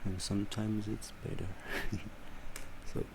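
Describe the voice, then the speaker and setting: French guy doing a software walkthru, low voice, slow n hesitant, noisy home recording. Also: little pitch movement, gravelly. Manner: hesitant, halting delivery with frequent pauses. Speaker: tutorial presenter. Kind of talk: unscripted software tutorial narration.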